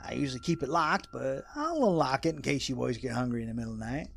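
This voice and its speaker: A man's voice: mid-range voice, expressive, fast talker, gravelly, fairly bright tone. A man playing a folksy, jumpy rural hermit with colloquial country talk in an audio drama. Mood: folksy, hospitable.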